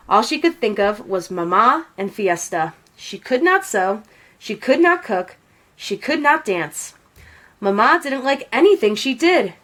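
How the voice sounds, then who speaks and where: A woman narrating a storybook in an expressive read-aloud style. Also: on the high side.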